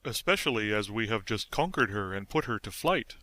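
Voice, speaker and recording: A man's voice: on the low side, animated, fairly quick, sharp tone. A man voicing a timid, fearful pumpkin-headed figure in a storybook reading. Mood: earnest. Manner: worried, plaintive.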